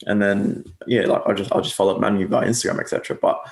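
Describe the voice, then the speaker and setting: A young man whose accent drifts between English and Australian, talking in a low, gravelly voice. He rambles and corrects himself often, with plenty of fillers, in a casual interview chat. The sound is echoey, like a room on a video call. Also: flat delivery, racing. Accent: mixed English and Australian. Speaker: guest agency owner.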